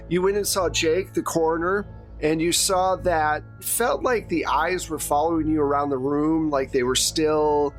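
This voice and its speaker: A man's voice: on the high side, a bit bright. A man running a tabletop horror game, narrating conversationally with wry asides. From Wisconsin. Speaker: game master.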